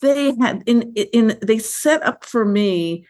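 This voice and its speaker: A woman filmmaker being interviewed, telling stories about her work in a lively, chatty way with many fillers and restarts. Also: fairly high pitch, takes it slowly. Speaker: filmmaker guest. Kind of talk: conversational interview.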